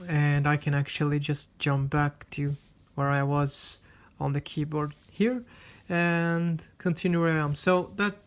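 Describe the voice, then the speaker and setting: A man whose first language is Swedish, reviewing a tablet in a casual, unhurried way with frequent fillers and restarts while demonstrating it. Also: on the high side, flat delivery, clean tone. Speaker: tech reviewer. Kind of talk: casual product review with live demo.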